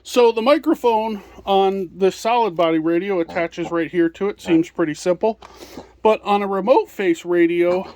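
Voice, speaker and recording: Casting: male product presenter, notably high-pitched, informal hands-on walkthrough delivery. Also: moderate pace, darkish tone. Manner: hands-on explaining while handling items.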